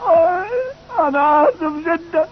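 A man's voice: extremely high pitch, fairly even intonation, normal speed. An actor playing a bumbling, servile huntsman in a dubbed cartoon, nervous before his betters. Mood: nervous, apologetic. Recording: muffled old cartoon dub, faint noise.

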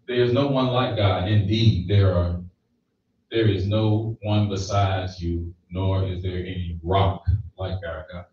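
A man's voice: deep voice, fairly even intonation, unhurried, slight background noise. A man in his thirties giving a sermon in a small church hall, holding a handheld mic and often checking in with "right?". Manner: conversational preaching with frequent "right?" checks. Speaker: preacher. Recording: echoey, distant, slightly dull room recording.

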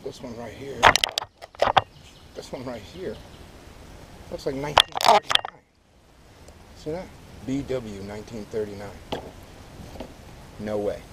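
A man's voice: medium pitch, a little slow, pauses often. A man in his thirties vlogging from a kayak on a wooded river, musing aloud in a laid-back, casual way while paddling, picked up by a boat-mounted action camera. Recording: loud water and wind noise from action camera. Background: water splashing and paddling.

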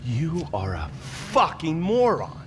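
A man's voice: on the high side, wildly swooping pitch, takes it slowly, a bit bright, a little hiss or hum, exaggerated voice. A man playing a contemptuous government agent who makes terse, tough threats in a video game. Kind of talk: video game cutscene acting.

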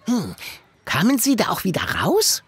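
A worried, determined young boy from a children's audio play. The voice is high and very raspy, with wide swings in pitch and a slow pace. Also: wildly swooping pitch, dry recording, exaggerated voice. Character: young boy Sammy, worried, determined to save his friends.

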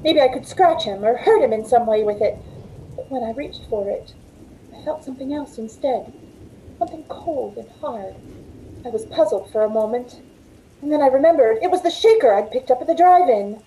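A woman plays a frightened, quick-witted waitress telling her story in a vintage radio thriller. Her voice is high and mellow, with breathless hesitation. There is faint noise behind her on the call. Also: animated, low-quality recording. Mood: tense, hushed narration. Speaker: narrator and lead of the play. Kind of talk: old-time radio suspense acting and narration. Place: indoor room at home, on a video call.

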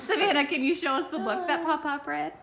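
A woman's voice: sits high, quick pace, gravelly. A woman chatting casually, coaxing and prompting a child at a family gathering. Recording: noisy, chattering family room.

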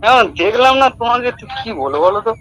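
A man's voice: very high-pitched, very animated, quick pace, sharp tone. A man chatting casually on a patchy phone call with heavy noise. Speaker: prank call target. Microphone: phone line on speaker.

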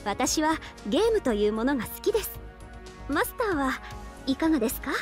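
A gentle young samurai archer from a mobile game. Her voice is soft and fairly high, with formal, reverent phrasing and devoted politeness. Clear music plays underneath. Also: big pitch swings, a little fast. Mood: cheerful, eager. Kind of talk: Japanese mobile game voice lines. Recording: studio voice over game music.

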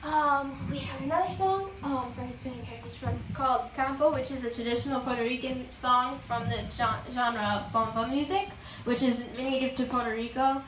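A woman's voice: high-pitched, moderate pace, hardly any pauses, background noise. A young woman, a guest singer, introducing her songs with a handheld mic, hesitant and full of fillers. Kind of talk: casual introduction. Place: small room with brick walls and blinds, indoors. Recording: echoey room from a far camera.

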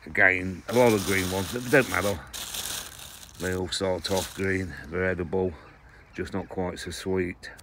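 A man's voice: sits low, fairly even intonation, unhurried, noisy background. A man in his sixties from England chatting casually and rambling about his allotment harvest, often correcting himself. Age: 60s. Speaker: allotment gardening vlogger. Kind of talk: casual chat to camera. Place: allotment greenhouses and plots, partly outdoors.

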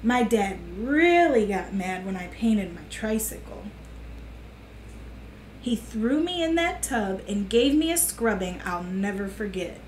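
A woman's voice: medium pitch, animated, slow pace, darkish tone. A middle-aged woman hosting a children's storytime, speaking to young listeners and their parents. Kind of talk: reading a picture book aloud to children. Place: small living room on a sofa, indoors. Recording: echoey room with faint hiss.

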